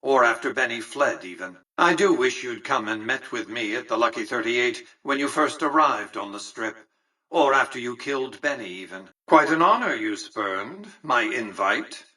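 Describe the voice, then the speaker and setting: Husky, haughty tycoon. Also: male voice, medium pitch, animated, normal speed, sharp tone, distant mic, poor audio. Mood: smug, formal. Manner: precise, formal, haughty. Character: imperious, calculating old male tycoon, coolly condescending. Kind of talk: video game character dialogue.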